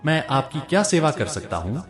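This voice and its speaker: Man reading as courteous, inquiring king, lively intonation. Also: a little high, moderate pace, music in the background. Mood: respectful, puzzled. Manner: respectful, formal questioning. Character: courteous, puzzled king seeking an answer.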